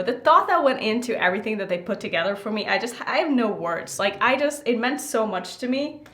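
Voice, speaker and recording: A woman's voice: medium pitch, fairly bright tone, reverberant. A woman from Norway telling a casual, chatty story to her viewers in an informal, rambling way with frequent asides. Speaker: makeup vlogger.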